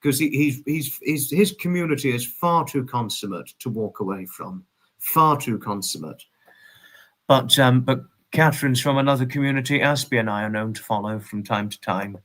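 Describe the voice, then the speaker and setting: A man's voice: medium pitch, normal speed, fairly bright tone. A man in his twenties chatting casually as a livestream host, reading and answering viewers, effusively polite and wordy, often repeating his phrases.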